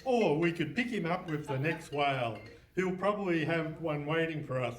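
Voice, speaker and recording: An actor playing a slow-witted, doubtful criminal sidekick who questions anxiously in a comic radio play. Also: male voice, fairly high pitch, animated, soft background music, close to an everyday voice. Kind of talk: comic live radio theatre. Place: hall stage before live audience. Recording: roomy, distant mic, faint noise.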